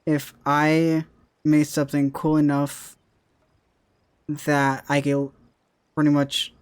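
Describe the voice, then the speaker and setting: A man's voice: on the high side, completely flat delivery, takes it slowly, clear, resonant tone. A young man, a content creator, chatting casually about his future, rambling and often repeating himself with "right?". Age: young adult. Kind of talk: casual chat.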